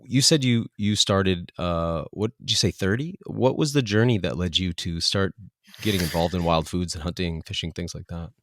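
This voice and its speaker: A man from the United States hosting a podcast, talking in a quick, chatty way full of "like" and "you know". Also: low voice, no echo, clean studio sound. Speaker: podcast host. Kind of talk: casual conversational interview.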